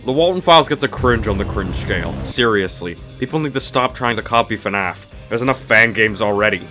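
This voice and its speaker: A man's voice: lowish voice, animated, brisk, music in the background. A man narrating a scripted comedic review in a snarky, dismissive, mock-exasperated way. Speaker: video reviewer, host of a review series.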